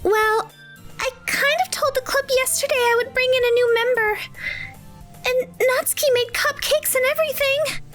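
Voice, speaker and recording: A woman voicing a cheerful, airheaded high school girl with a caring, teasing manner in an anime-style visual novel dub. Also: fairly even intonation, moderate pace, fairly bright tone, faint music behind. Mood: pleading. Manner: bubbly, girlish, pleading and teasing.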